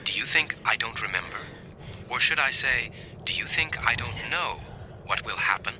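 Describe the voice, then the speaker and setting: A sly, needling man's voice from an old tape, played by an actor in a radio drama, clever, lonely and insistent. It swings expressively in pitch over clearly audible background noise. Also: expressive, moderate pace, lightly acted. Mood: taunting, sly. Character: clever, taunting recorded younger self, lonely and insistent. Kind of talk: dramatic radio play acting. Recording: noisy radio drama recording.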